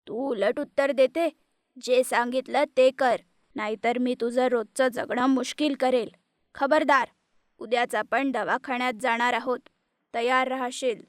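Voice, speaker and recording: A woman voicing a harsh, scheming middle-aged mother-in-law in an animated story, with a scolding, threatening manner. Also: on the high side, unhurried, no echo, clean studio sound, natural voice. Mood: angry scolding.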